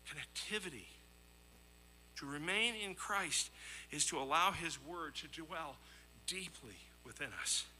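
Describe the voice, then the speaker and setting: Bright, forceful man preaching a sermon. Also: a little high, a little slow, a little hiss or hum, rough recording. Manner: earnest, emphatic, with rhetorical questions and repetition. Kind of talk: sermon with scripture readings and anecdotes.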